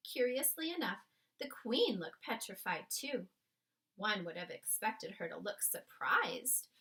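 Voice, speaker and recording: A woman's voice: medium pitch, fairly bright tone. A woman reading a children's book aloud as narrator, with dramatic, emphatic storytelling. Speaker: storybook reader.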